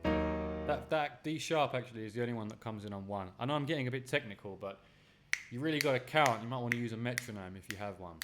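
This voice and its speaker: Piano teacher, male, slight cold, talks through chords and counts beats, loud piano playing under his voice. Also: mid-range voice, quick pace. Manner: explains chords step by step, counting beats aloud. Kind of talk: casual piano tutorial. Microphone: USB studio microphone.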